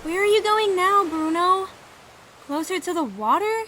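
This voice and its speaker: An actress playing a cheerful young twin, chatty and playful. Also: female voice, extremely high pitch, lively intonation, a little slow, sharp tone, a little hiss or hum, exaggerated voice. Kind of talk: audio drama acting.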